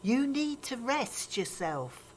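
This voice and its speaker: A disapproving grandmother in an audio drama, older and set in her ways. Her chiding, gossipy talk swings widely in pitch, over a faint noisy background. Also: big pitch swings, slow pace. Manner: chiding, matter-of-fact, gossipy. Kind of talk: naturalistic radio drama acting.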